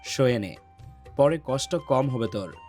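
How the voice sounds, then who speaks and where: A man plays a lovesick young man in a romance audio story. His voice is heavy and gruff, and he broods and orders people around with menace. Faint music runs behind him. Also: expressive, measured pace, a little mellow, close to an everyday voice. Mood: threatening. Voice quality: deep, heavy voice.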